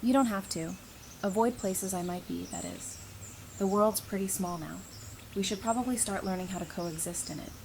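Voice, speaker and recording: A woman's voice: mid-range voice, moderate pace, a little mellow, lightly acted. Woman acting a dry, guarded female survivor, faint noise. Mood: tentative, conciliatory. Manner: dry, blunt. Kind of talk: audio drama acting.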